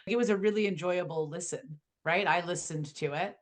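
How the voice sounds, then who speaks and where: Low-voiced woman, friendly book chat. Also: normal speed. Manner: friendly and enthusiastic, often ends with "right?". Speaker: book club member. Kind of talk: casual book club chat on a video call.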